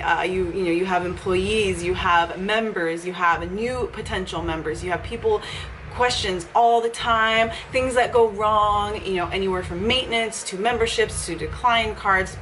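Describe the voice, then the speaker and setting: A woman's voice: medium pitch, a bit bright, slight background noise, low-quality recording. A young woman chatting casually in a vlog from a small office, upbeat and often laughing as she talks. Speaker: fitness vlogger and gym owner. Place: small office with leather couch, indoors.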